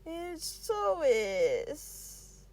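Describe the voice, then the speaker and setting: Woman playing young male student, self-doubting, whiny, high-pitched, emotional, stammering, storybook dialogue. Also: wildly swooping pitch, a little mellow, heavily acted. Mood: frustrated, guilty. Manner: emotional, stammering, drawn-out complaints.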